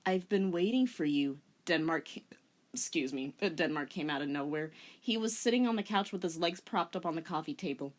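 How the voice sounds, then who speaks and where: A woman's voice: sits low, brisk. A woman giving a comedic dramatic reading with casual commentary, in a sardonic, giggly manner. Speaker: commentator and reader.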